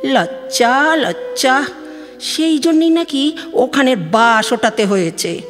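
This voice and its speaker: A woman's voice: sits high, expressive, slow pace. A woman playing an aggrieved, gossipy middle-aged village mother from West Bengal on the phone in an audio drama, chatty and complaining, with a Bengali accent. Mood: gossiping, scandalised. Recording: long studio reverb, music behind.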